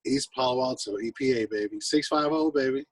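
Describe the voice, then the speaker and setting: A man's voice: medium pitch, fairly quick, distant mic, a crowd in the background. An American man from the West Coast and Hawaii, a guest telling anecdotes in casual, laid-back, slangy talk. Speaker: guest, singer-songwriter musician. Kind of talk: casual chat, anecdotal storytelling.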